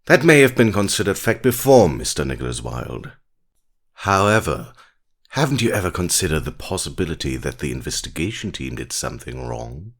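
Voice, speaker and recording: A man's voice: very deep voice, very animated, moderate pace, full character voice. A man playing a haughty, sardonic animal prosecutor in a courtroom audio drama, theatrical and condescending. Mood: scornful.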